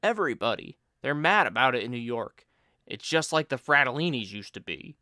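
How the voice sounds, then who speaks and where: A man voicing a lazy, joking friend who teases and mock-lectures in a loud, raised voice. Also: mid-range voice, sing-song, a little fast, no echo, clean studio sound. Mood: mock lecturing.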